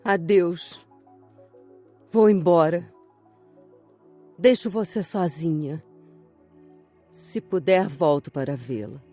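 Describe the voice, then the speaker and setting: A woman's voice: on the low side, slow, pauses often, warm tone, faint music behind. A woman playing a reluctant departing female spirit in a scripted audiobook. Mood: reluctant, parting.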